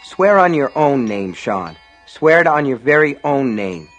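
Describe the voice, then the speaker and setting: A man's voice: mid-range voice, big pitch swings, a little slow, fairly bright tone, soft background music, pitched above the performer's own voice. Man playing a grim young boy, serene, detached, insistent, audiobook older brother. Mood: solemn insistence.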